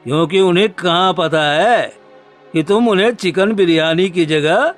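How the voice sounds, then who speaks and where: A man's voice: sits high, soft background music. A man voicing a smug, scheming blackmailing neighbour in a cartoon story, with a taunting, theatrical manner. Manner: taunting, drawn-out, theatrical menace. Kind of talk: Hindi cartoon story dubbing.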